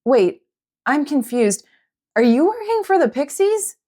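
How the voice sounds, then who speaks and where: Audiobook heroine, earnest, flustered but defiant, pitched high, performed by a female narrator. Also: sing-song, measured pace, warm tone. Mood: confused. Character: plucky, flustered young adventurous heroine.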